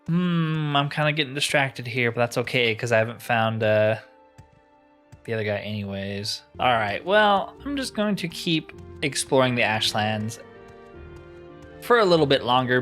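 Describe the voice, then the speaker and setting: A man's voice: mid-range voice, lively intonation, a little slow, a bit bright, soft background music. Casual man narrating his gameplay. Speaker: gaming video host. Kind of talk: casual game playthrough commentary.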